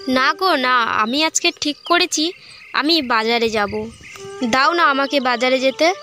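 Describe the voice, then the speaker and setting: A woman's voice: high voice, a little slow, background noise. A woman voicing a naive, easily hurt young housewife in a cartoon story. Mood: pleading, sulky. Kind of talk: amateur cartoon story voice acting.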